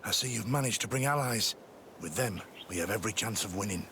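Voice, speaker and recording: An actor playing a remorseful, dutiful sergeant in a video game, speaking earnestly and soberly. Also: male voice, lowish voice, fast talker, sharp tone, a little hiss or hum. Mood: sober, alert. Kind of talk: scripted video game acting.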